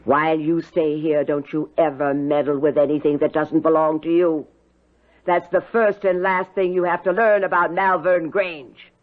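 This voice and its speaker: A woman's voice: deep voice, fairly even intonation, moderate pace, lightly acted. A woman acting out a severe aunt in a radio play, suspicious and bossy. She speaks in clipped, imperious commands. The recording is dull and lo-fi. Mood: stern, scolding. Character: stern, suspicious aunt, bossy middle-aged housekeeper.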